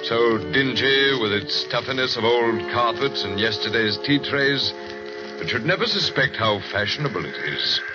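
An actor playing a ship surgeon who narrates eerie tales in a 1940s radio drama, measured and brooding, on a dull old broadcast with music. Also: male voice, medium pitch, lively intonation, close to an everyday voice.

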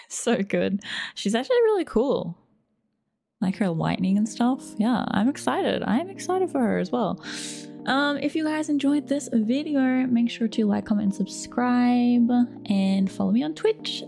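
A woman's voice: medium pitch, vocal fry, warm tone. A young adult woman giving casual reaction commentary as the host of a video, recorded indoors. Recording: music behind the voice. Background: game trailer music.

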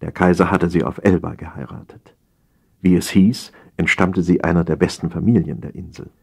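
Low, husky male narrator. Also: fairly even intonation, normal speed. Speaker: audiobook narrator. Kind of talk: dramatized reading of satirical story.

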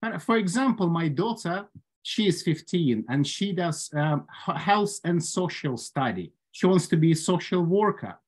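A man in his forties with a Ukrainian accent, giving a prepared humorous speech at an online club meeting with dry humor and measured phrasing. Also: high voice, animated, slightly rough. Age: 40s. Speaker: club speaker. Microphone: video call.

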